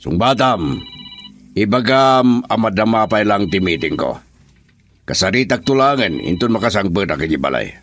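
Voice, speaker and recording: A man's voice: fairly low pitch, very animated, moderate pace, fairly bright tone, dry recording, full character voice. An actor with an Ilocano Filipino accent playing a commanding, evasive businessman husband in a radio drama. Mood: firm, irritated.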